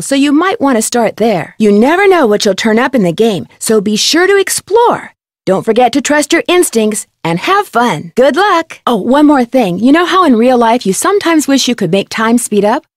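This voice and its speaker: Young female detective, video game character, high voice, dry studio sound, curious, friendly, polite, clear diction. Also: no room sound. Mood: cheerful, instructive. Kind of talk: scripted video game voice acting.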